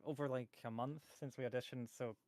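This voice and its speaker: A man voice actor telling a story in a casual chat, animated and acting out quotes. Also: medium pitch, fairly quick, dry recording, clean studio sound.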